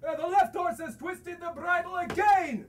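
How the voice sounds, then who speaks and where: A male student actor playing a blustering, hot-tempered landowner who scorns women, grumbling and exclaiming in a comic stage play. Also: very high-pitched. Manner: blustering, exclamatory, grumbling to himself with halting outbursts. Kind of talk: theatrical stage acting of a comic one-act play. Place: theater stage, recorded from a distance. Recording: distant stage recording with faint noise.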